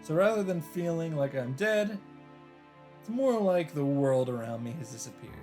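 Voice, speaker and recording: A man's voice: a little high, lively intonation, normal speed, darkish tone. A man reading visual novel narration aloud as a let's player, dropping in casual, wry asides. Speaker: video game let's player. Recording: music behind the voice.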